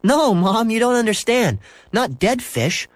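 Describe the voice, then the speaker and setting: A man voicing an eager young boy who yells at his dog and talks excitedly to his mother, in a raised voice. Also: high-pitched, a little slow, no room sound, voice pushed up.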